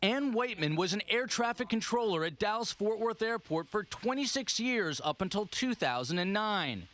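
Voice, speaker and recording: High-pitched, animated American newsman. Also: normal speed. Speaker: investigative TV news reporter. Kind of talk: scripted news narration.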